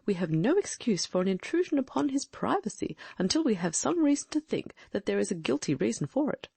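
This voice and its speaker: A woman's voice: mid-range voice, big pitch swings, brisk, gravelly, warm tone, breathy edge, no room sound, full character voice. A woman voicing a brilliant, confident Victorian detective in an audiobook, reasoning aloud with precise diction. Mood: deducing. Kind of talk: audiobook dramatic reading.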